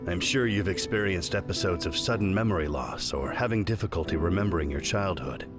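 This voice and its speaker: Low-voiced, condescending research scientist. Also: male voice, lively intonation, a little fast, over music. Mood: matter-of-fact. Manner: clinical, matter-of-fact, condescending. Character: detached, condescending middle-aged male research scientist at a hospital. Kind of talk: scripted video game cutscene acting.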